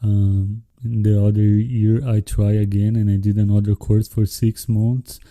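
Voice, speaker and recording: Low, flat, mellow-voiced male host. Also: no room sound. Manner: friendly, measured, non-native English with small grammar slips. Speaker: podcast host. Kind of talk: casual interview hosting.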